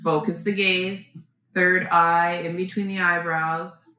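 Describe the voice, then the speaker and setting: A woman yoga teacher giving gentle, unhurried guided breathing and posture instruction, with pauses between phrases. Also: on the low side, flat delivery. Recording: very echoey room, faint background noise.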